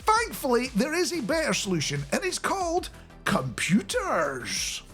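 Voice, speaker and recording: Man chatting, lively intonation. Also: very high voice, a little slow, soft background music. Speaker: radio producer and software developer presenting a video. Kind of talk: casual explainer to camera. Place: small home studio indoors. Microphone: close studio microphone on a boom arm.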